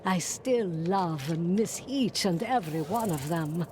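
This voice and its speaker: A woman voicing a calm mystic seer in a video game, reflective, wistful and wry. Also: fairly low pitch, measured pace. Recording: noisy background.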